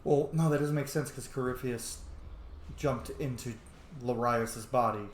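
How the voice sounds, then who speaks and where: A man's voice: mid-range voice, steady tone, measured pace. A man with a mid-range voice hosts a gaming video in a casual, sweary way, role-playing along as he comments. The room is noticeably echoey, with faint game ambience behind him. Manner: informal, sweary. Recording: roomy echo, faint game noise behind.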